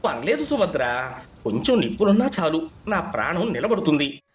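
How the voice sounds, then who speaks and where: A man acts out an aging village husband from a moral tale, poor and trusting. He sounds plaintive and earnest, his pitch moving up and down in a lively way. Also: normal speed, a little hiss or hum. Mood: shivering, pleading.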